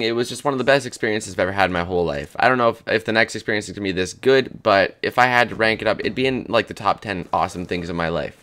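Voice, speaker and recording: A hoarse young man in his twenties rambling crudely and jokily as the host of a gaming channel. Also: fairly low pitch, sharp tone. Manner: rambling, crude, jokey, lots of "dude" and "man". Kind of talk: casual rambling commentary over gameplay.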